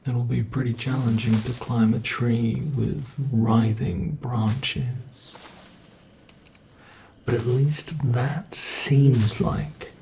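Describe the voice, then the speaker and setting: A man's voice: mid-range voice, steady tone, vocal fry, mellow, a little hiss or hum, poor audio. A man with a British accent playing a mocking male monster who hunts a human, in an ASMR horror roleplay. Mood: coaxing. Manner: mocking, drawn-out coaxing, impatient. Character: teasing predatory male monster hunting a human.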